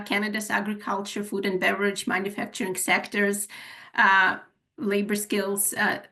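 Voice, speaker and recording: A woman's voice: medium pitch, bright, forceful tone. A woman with a Ukrainian accent presenting a webinar as an HR manager, with many filler sounds as she goes through her slides. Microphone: video call.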